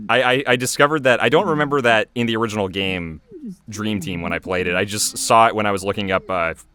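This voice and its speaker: A man's voice: lowish voice, fairly bright tone. A man giving casual, off-the-cuff creator commentary on his own animation, hesitant and repeating himself. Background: audio of the cartoon episode.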